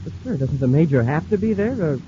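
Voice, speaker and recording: A stammering young space cadet from a 1950s radio serial, played by an actor, rather high and quick-talking. The old transcription is muffled with steady hiss. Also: male voice, close to an everyday voice. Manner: hesitant, deferential, fumbling. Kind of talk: 1950s radio drama acting.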